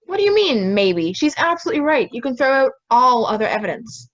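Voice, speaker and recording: A woman's voice: high voice, sing-song, brisk, fairly bright tone, heavily acted. A young woman playing a stubborn, hot-tempered juror in an amateur audio drama, combative and blunt, on a slightly dull recording. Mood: challenging. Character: stubborn, hot-tempered juror dead set on a guilty verdict.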